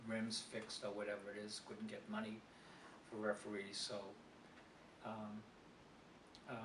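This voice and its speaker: Rambling older man. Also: on the low side. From South Boston, USA. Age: 70s. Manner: rambling, digressive, with frequent restarts. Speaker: interviewee, former politician. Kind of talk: reminiscing interview answers. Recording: roomy, distant mic, noisy.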